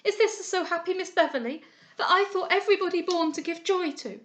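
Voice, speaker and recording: A woman voicing a frantic young woman who wails high and tearful in grief. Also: fairly quick, fairly bright tone. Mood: sobbing.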